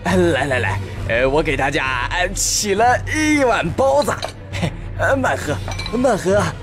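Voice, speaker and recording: An actor playing a fussy, chatty show-off adviser in a comic radio play, excitable. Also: male voice, sits high, measured pace, faint music behind. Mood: flustered. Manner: excitable, stammering patter with exclamations.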